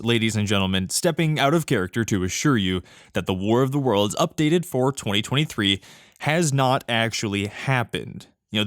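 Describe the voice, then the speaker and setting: A man's voice: medium pitch, dry recording, studio quality. A man giving a scripted announcer's address to listeners as the show's producer. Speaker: writer and producer of the drama, announcer.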